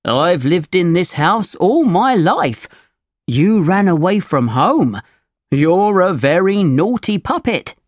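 A woman's voice: lowish voice, sing-song, slow, no room sound, studio quality, voice pushed up, exaggerated voice. A woman voicing a small, bossy cricket in a children's storybook, admonishing and firm. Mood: defiant, scolding. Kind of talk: children's storybook character reading.

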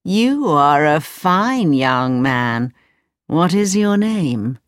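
A woman acts out a sly old wizard from a storybook, pretending to be a kindly uncle. He draws his words out very slowly and theatrically, with big swoops in pitch. The sound is close and dry. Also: big pitch swings, dry recording, heavily acted. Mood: kindly, probing. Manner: slow, drawn-out, theatrical delivery.

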